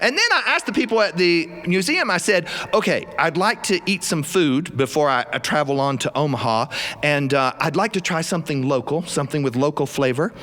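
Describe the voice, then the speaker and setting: A man from South Carolina, telling a humorous story live in an animated, folksy, comic manner. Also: on the high side, brisk.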